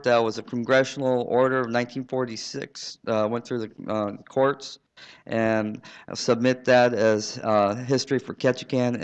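A man from southeast Alaska giving earnest, halting testimony for his tribe at a public hearing. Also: mid-range voice, clear, resonant tone. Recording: dull lo-fi hearing recording.

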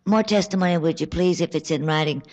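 Brisk woman chairing a hearing. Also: on the low side, darkish tone. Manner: brisk, firm, procedural. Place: public hearing room. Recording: dull lo-fi hearing recording.

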